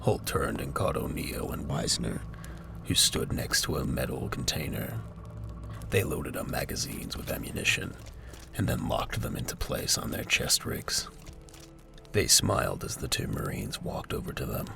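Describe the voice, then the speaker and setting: A man's voice: extremely low pitch, very animated, normal speed, gravelly, music in the background. A man reading scripted, dramatic narration for an audio drama.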